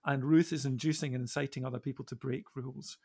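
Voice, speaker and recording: A man in a reflective Bible discussion, speaking in a measured, deliberate way with pauses. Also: medium pitch, fairly even intonation, vocal fry, darkish tone, breathy edge. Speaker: podcast panellist.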